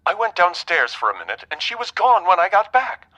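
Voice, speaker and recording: An actor playing a distraught, earnest young bridegroom in a period radio drama, speaking urgently through a telephone effect. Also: male voice, sits high, big pitch swings, fairly quick, pushed and bright, full character voice, low-quality recording. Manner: earnest, urgent melodramatic delivery.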